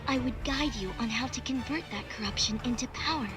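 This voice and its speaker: A woman's voice: on the high side, a little fast, natural voice. A woman voicing a solemn, calculating young female artificial intelligence in a video game, formal and detached. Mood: solemn, measured. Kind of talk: video game cutscene acting. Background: music.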